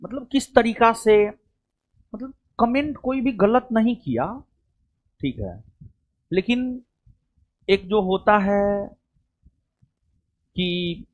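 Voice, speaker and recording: A man's voice: sits high, animated, takes it slowly, pauses often, lo-fi sound. A man talking informally to his students in a coaching classroom, rambling and often repeating himself. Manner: chatty, rambling, repeats "theek hai". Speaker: coaching teacher.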